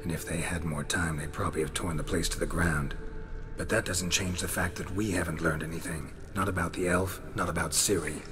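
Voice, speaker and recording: An extremely deep, gravelly monster hunter played by a man, world-weary and sardonic. He speaks in terse, deadpan lines, as in a fantasy video game, with music clearly playing behind him. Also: brisk, natural voice. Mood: gruff, skeptical. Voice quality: gravelly, low. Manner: laconic, dry, deadpan. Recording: game dialogue over clear background music.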